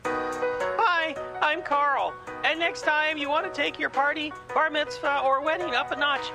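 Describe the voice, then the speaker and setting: Woman playing eager high-pitched young DJ Carl. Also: fairly even intonation, measured pace, over music. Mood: eager salesmanship. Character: Carl, eager youthful amateur DJ pitching his service. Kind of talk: homemade local radio ad parody.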